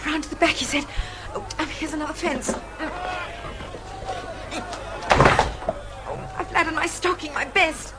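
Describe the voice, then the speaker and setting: An actress playing an earnest, excitable young Englishwoman in a radio play. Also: sits high, fairly quick, close to an everyday voice. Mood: anxious. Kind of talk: radio drama acting. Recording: loud music and crowd noise behind.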